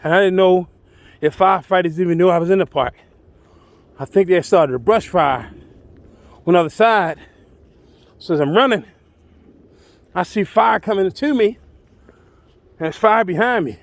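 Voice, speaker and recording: High-pitched man telling a colorful tale. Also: moderate pace, pauses often, no room sound. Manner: colloquial, exclamatory, dramatic. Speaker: storyteller. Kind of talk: animated first-person tall-tale storytelling.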